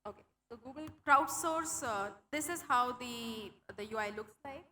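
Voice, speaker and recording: Indian english lady presenting at a conference, bright voice, lots of uh and restarts while explaining. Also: fairly high pitch, normal speed. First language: Hindi. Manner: explanatory, frequent fillers and restarts. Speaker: conference speaker. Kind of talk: tech talk with live demo. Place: conference hall.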